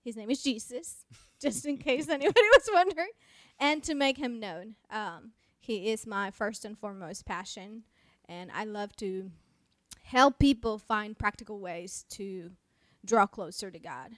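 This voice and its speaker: A woman's voice: mid-range voice, a little slow, dry recording, studio quality. A woman from Mexico with a light Spanish accent, Spanish her first language, chatting as a podcast co-host and pastor, laughing often and speaking with passion. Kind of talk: casual chat.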